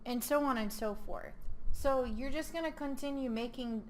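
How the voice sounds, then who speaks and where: A woman hosting a screen-recorded software tutorial, talking casually with many ums and self-corrections, her voice a little hoarse. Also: high voice, moderate pace, slight background noise. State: losing her voice. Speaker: host.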